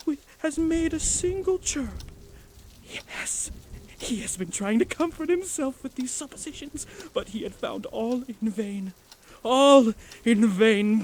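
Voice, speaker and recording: High-pitched, halting, nervous madman. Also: slight background noise, full character voice. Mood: gleeful agitation. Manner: theatrical, halting, stammering over repeated words. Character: nervous, obsessive mad murderer confessing his deed. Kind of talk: dramatic monologue in a gothic horror audio drama.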